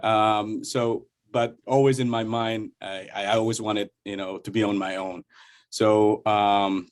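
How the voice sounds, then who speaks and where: A man speaks on a video call. He introduces himself informally, in short halting phrases. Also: on the low side, little pitch movement. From Somalia. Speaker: workshop participant, cybersecurity entrepreneur.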